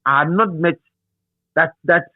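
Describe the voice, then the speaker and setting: A middle-aged Ghanaian man reminiscing as an interview guest in a halting, repetitive, animated storytelling manner. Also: a little high, a crowd in the background. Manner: halting, repeats words and phrases, animated storyteller. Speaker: interview guest, radio DJ and presenter. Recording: thin phone-like sound.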